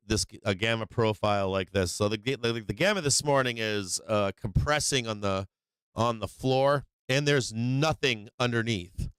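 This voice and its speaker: A man's voice: mid-range voice, a bit bright, smooth, no echo. Rambling casual male market streamer. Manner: rambling, slangy, many fillers and restarts. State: losing his voice. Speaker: live stream market show host.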